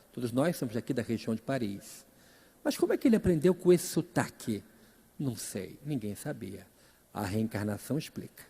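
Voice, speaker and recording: A middle-aged man with a Brazilian accent tells a story as a public lecturer, dramatic, swinging between emphatic rises and hushed drops. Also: on the high side. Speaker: speaker at a Spiritist seminar. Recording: reverberant hall.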